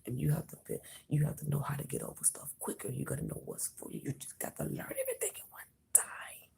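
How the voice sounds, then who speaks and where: A tarot reader speaks in a husky, half-whispered voice heavy with gravelly fry. The pitch rises and falls a lot as they reassure the listener over and over, calling them honey and mama. The delivery is close and personal. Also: wildly swooping pitch, fast, dark tone. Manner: emphatic, reassuring, repeats phrases. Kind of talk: intimate whispered reading addressed to viewer.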